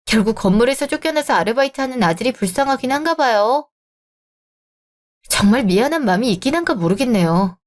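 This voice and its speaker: A young woman with a high voice who plays the heroine of a radio drama. She answers in a cool, firm and cutting way and never raises her voice. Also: lively intonation, a little fast. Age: young adult. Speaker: heroine of the story.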